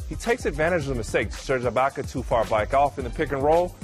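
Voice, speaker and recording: An older man in a TV studio giving a measured, coach-like breakdown of plays. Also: mid-range voice, animated, faint music behind. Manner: measured, explanatory. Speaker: studio analyst.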